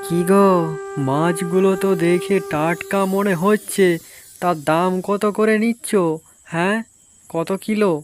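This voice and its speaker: A man playing a hearty, talkative village market man in an animated story. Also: sits high, measured pace, over music. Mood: keen, hearty.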